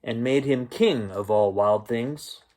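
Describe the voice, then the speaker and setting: A man reading a children's picture book aloud as a storyteller, expressive and unhurried, with gentle asides to the listeners. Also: medium pitch. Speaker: bedtime story reader and host.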